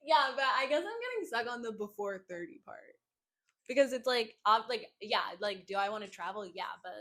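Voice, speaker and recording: A woman's voice: on the high side, expressive, a little fast, a bit bright. A woman in her twenties co-hosting a podcast, blunt and casual, swearing now and then. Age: 20s. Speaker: podcast co-host. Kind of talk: casual chat.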